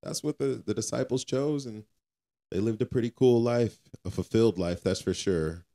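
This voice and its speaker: A man's voice: medium pitch, moderate pace, dark tone. A man co-hosting a casual faith podcast, talking in an informal, colloquial and earnestly preachy way. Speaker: podcast co-host.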